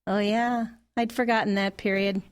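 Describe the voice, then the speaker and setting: A woman's voice: medium pitch, level tone, moderate pace, clean tone, natural voice. A woman playing an exasperated, sarcastic mother of a teenager in an audio comedy, dry and skeptical in manner. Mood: grudging. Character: exasperated, sarcastic grown daughter, mother of a teen. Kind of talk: audio comedy drama acting.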